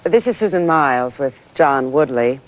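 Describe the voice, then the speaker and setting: Female anchor for a TV news drama: serious, controlled, deliberate broadcast delivery. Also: extremely low pitch, expressive, slight background noise. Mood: serious, tense. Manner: deliberate, occasionally halting.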